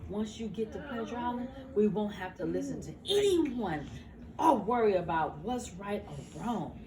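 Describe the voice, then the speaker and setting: An older woman voicing a cocky, carefree loudmouth boy in a storybook reading, boastful and offhand. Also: mid-range voice, big pitch swings, normal speed, voice pushed down, natural voice. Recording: roomy, noisy home recording, far from mic.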